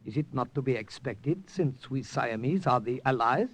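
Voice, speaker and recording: Formal Siamese major. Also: male voice, mid-range voice, expressive, moderate pace, breathy edge. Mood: courteous. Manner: formal, courteous, unruffled. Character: polite, composed Siamese army major. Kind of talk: 1950s radio drama acting.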